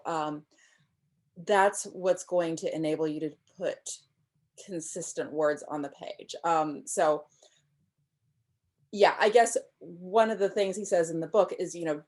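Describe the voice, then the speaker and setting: A woman in her thirties talks about her craft on a video call from a room at home, her voice sitting a little low. She explains things thoughtfully and with enthusiasm, pausing on ums as she works out her points. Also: a little mellow. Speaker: novelist guest. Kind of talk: casual interview chat.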